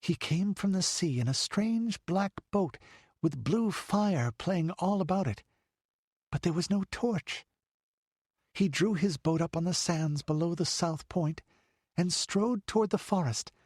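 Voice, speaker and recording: A man's voice: high-pitched, measured pace, darkish tone, no echo, clean studio sound, voice pushed up. A man voicing a frightened little girl who talks breathlessly and pleads.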